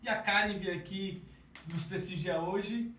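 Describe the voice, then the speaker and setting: A man's voice: sits high, unhurried, sharp tone, slight background noise. Joking man on a roomy, distant mic. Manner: joking, teasing, chatty. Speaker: podcast co-host. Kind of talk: casual podcast chat.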